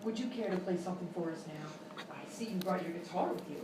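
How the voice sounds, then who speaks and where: A woman playing an earnest, courteous radio interviewer in a live comedy sketch, speaking in a formal, measured way. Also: on the low side, close to an everyday voice. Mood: polite, earnest. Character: polite, earnest female radio interviewer, increasingly flustered. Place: live show at a hotel venue. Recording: echoey, noisy live room, distant mic.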